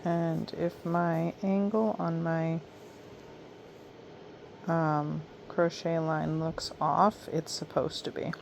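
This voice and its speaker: Hoarse, gravelly low-voiced woman. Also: flat delivery, slight background noise. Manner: soft, unhurried, trailing off with ums. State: hoarse, raspy, coughing. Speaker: crochet vlogger. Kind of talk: casual late-night chat while crocheting. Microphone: camera mic.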